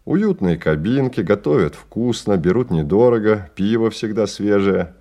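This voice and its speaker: An actor playing a measured male storyteller, narrating a radio drama in a scripted dramatic style. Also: on the low side, animated, dark tone, highs cut off. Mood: measured, wry. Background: music.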